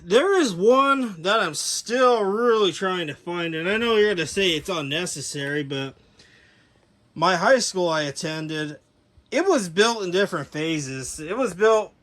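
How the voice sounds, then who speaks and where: A man giving a casual, unscripted show-and-tell as a hobbyist presenter, talking in a rambling, halting, detail-focused way. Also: a little high, a bit bright. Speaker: hobbyist presenter showing his speaker collection.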